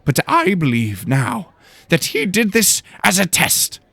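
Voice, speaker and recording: A man's voice: sits high, wildly swooping pitch, takes it slowly, bright, forceful tone, slightly rough, dry recording, pitched above the performer's own voice, full character voice. A man voicing a pompous governor giving a grand, declamatory speech to nobles in a fantasy audio drama. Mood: grand, fervent. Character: pompous male governor addressing his noble guests.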